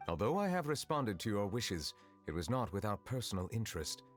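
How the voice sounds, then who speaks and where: A solemn, dignified game official with a low voice. His delivery is formal, courteous and measured, with a gentle reserve. Music sits behind him. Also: very animated. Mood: formal, reassuring. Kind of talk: video game voice acting.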